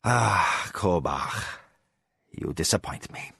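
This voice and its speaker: A man voicing a cold, menacing male spy master who speaks low, slow and measured. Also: big pitch swings, fairly bright tone, dry recording, studio quality, full character voice. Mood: mock regretful.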